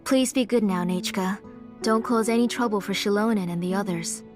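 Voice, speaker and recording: A woman voicing a caring, cautious mother in a game cutscene, speaking gently and with measured care. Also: medium pitch, close to an everyday voice. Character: caring mother, careful and worried. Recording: game audio with background music.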